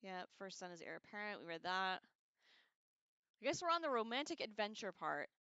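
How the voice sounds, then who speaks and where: Animated, bright-voiced woman streamer. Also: mid-range voice, normal speed, clear, resonant tone, no echo, lo-fi sound. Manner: irreverent, slangy, swears casually. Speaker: livestream host. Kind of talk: casual rambling read-along discussion.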